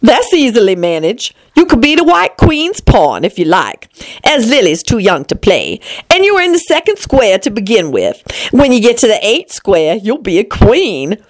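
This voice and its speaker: A woman voicing a brisk, bossy but good-natured queen in a storybook, with an imperious, matter-of-fact manner. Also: sits high, wildly swooping pitch, poor audio. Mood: brisk, kindly. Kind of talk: storybook character voice.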